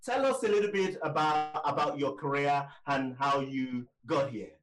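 A man's voice: fairly high pitch, moderate pace, a bit bright, distant mic, faint music behind. A man hosting a podcast interview in an enthusiastic, emphatic, conversational manner.

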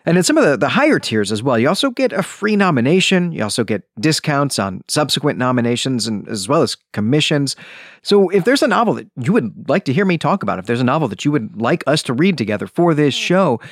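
Man, podcast host, lively swooping intonation, conversational, discursive asides, close dry sound. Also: mid-range voice, fast talker, no room sound. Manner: chatty, discursive, with frequent asides.

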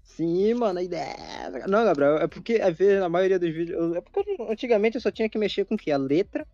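A young Brazilian man in casual chat, excitable and slangy, swearing often. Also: high voice, quick pace, dry recording, dull audio, faint music behind. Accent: Brazilian Portuguese.

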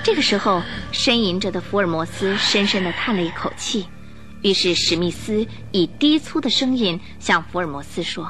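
A woman giving dramatic scripted narration for a radio drama, telling the story in a suspenseful, expressive way. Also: medium pitch, moderate pace, faint music behind.

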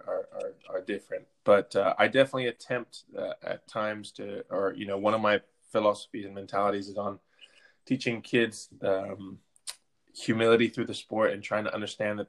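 A man with a fairly low voice answers interview questions as a coach. His intonation stays very flat. He talks informally, often doubling back on his words. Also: a little fast. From Belgium. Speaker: interview guest, soccer coach and team captain. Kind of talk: conversational interview.

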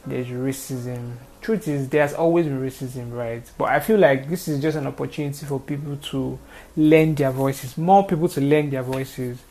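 A woman's voice: a bass voice, normal speed, warm tone, poor audio. A woman with a Nigerian accent and a small, thin voice, giving an earnest off-the-cuff talk on social issues, often repeating phrases for emphasis.

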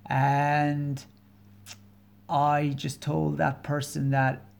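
A fortyish man from Kerry, speaking with an Irish accent and a voice pitched a little above the usual male range. He hosts a solo podcast, talking candidly and earnestly, with plenty of pauses, ums and restarts. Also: completely flat delivery, gravelly. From Ireland. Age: 40s. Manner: hesitant and earnest, many pauses and fillers; candid, openly personal. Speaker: podcast host.

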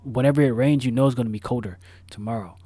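A man's voice: mid-range voice, fast talker, warm tone, no room sound. Rambling, slangy man on a podcast. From Florida. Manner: rambling, lots of fillers and repeats, slangy. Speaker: podcast co-host. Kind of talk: casual podcast chat.

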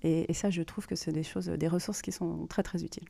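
A woman hosting a podcast, explaining things informally in a monologue and pausing as she thinks aloud. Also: fairly low pitch, steady tone, creaky, heavy background noise. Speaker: podcast host and creative writing workshop leader.

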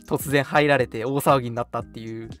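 A man hosting a radio show in casual chat, talking in an animated, chatty way with a co-host. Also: mid-range voice, a little fast, faint music behind.

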